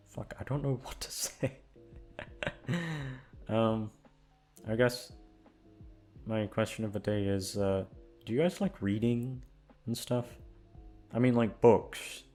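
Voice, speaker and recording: A man's voice: lowish voice, dark tone. A young man chatting casually to his listeners in an informal, rambling, sweary way. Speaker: audio channel host. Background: guitar music.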